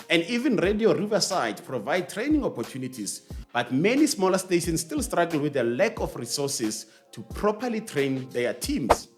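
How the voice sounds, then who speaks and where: A man with a South African accent hosting a scripted explainer, measured and stressing key phrases. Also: fairly high pitch. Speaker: host of a series on radio.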